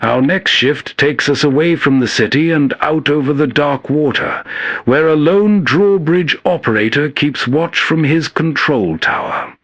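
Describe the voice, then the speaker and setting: A man hosting with soft scripted narration meant for late-night listening. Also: a little high, unhurried. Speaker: host narrator.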